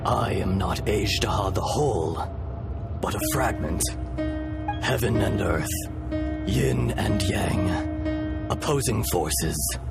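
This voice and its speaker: A wise ancient dragon spirit in a video game. The voice is low and unhurried, calm, grave and formal, with clear music behind it. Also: male voice. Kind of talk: dramatic video game dialogue.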